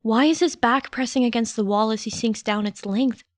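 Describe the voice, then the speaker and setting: A woman's voice: on the high side, moderate pace, dry recording. A woman reading a story aloud as a scripted audiobook narrator, close to the microphone. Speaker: podfic narrator. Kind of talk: scripted narration of fan fiction.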